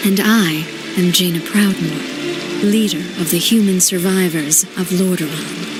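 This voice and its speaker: A woman's voice: lowish voice, fairly even intonation, measured pace, loud music behind the voice, lightly acted. An actress playing a young female human sorceress and leader, sounding urgent.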